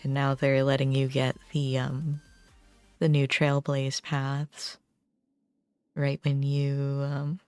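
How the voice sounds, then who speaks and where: Female game streamer, low and unhurried, halting casual chat in a roomy space. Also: monotone, darkish tone, smooth. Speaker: game livestreamer. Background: game music.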